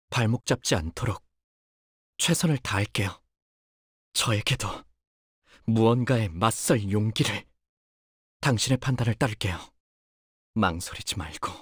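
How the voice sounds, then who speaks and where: An actor playing a timid, polite young male fighter in a video game, hesitant and soft-spoken but capable of cold focus. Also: medium pitch, husky, no room sound, studio quality. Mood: earnest, subdued. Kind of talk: Korean video game character voice lines.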